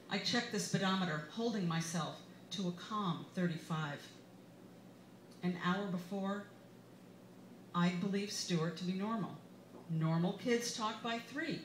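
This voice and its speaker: An American woman, an author giving an expressive live reading of her memoir in a wry manner. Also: medium pitch. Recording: roomy, echoey bar with background noise.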